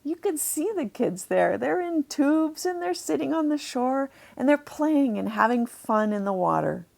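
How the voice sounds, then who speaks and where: A woman narrating a children's storybook, deliberately explaining things to young listeners as she reads. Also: high voice, sing-song, creaky, a little mellow.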